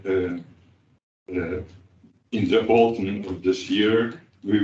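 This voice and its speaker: Slow, halting man in an echoey hall. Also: lowish voice, darkish tone, a little hiss or hum. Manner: halting, many pauses and fillers. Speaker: cooperative practitioner on a panel. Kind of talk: panel discussion remarks. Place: large conference hall. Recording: echoey hall, distant dull mic.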